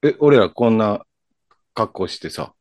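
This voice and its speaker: A man's voice: fairly low pitch, lively intonation, a little slow, a little mellow, big-room sound. A man acting in a comedic radio drama, talking in an easygoing, soothing way.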